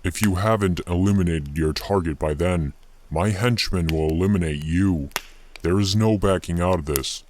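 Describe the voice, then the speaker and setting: A man playing a taunting, theatrical male kidnapper who speaks deliberately in a horror story, menacing and sadistic. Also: sits low, a little mellow, slight background noise, pitched below the performer's own voice, close to an everyday voice. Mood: cold threat. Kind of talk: acted villain in horror story narration.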